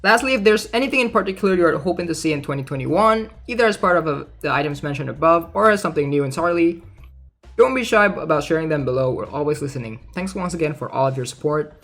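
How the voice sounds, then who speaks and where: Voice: mid-range voice, expressive, fast talker, fairly bright tone, soft background music. A streamer giving casual, conversational commentary on a game during a livestream. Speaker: streamer, host.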